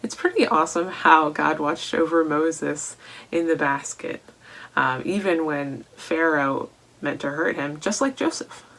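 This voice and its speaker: A woman reading a Bible story aloud to children in a deliberate way, posing questions to young listeners as she goes. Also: sits low, a bit bright, low-quality recording. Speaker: children's storytime reader at a church.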